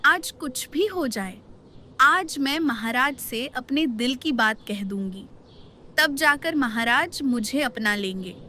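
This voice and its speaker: High, bright young courtesan played by a woman. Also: animated, slow pace, slight background noise, exaggerated voice. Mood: earnest. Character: young courtesan betrayed by the king, defiant, anguished. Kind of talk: melodramatic cartoon dubbing.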